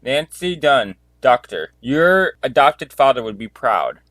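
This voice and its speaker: A man playing a methodical police detective in an amateur murder mystery audio drama, deliberate and declarative. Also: medium pitch, very animated, fairly bright tone, full character voice. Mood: businesslike.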